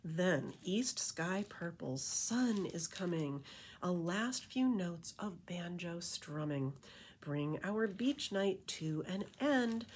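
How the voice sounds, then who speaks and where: A middle-aged woman reads a children's picture book aloud as a librarian, with rhythmic, animated storytelling and casual asides. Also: lowish voice, slight background noise. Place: indoor room with painted wall.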